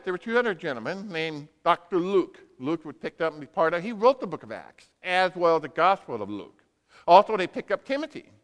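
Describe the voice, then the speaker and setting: A man preaching a sermon as a dramatic storyteller, in short punchy phrases and acted-out dialogue, in a large reverberant room. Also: sits high. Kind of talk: sermon with animated personal storytelling. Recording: reverberant hall.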